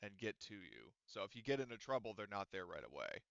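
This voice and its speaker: A man's voice: fairly low pitch, very animated, a little fast, vocal fry, a bit bright, no echo, dull audio. A man running a tabletop game as game master, talking casually with fillers and casual swearing.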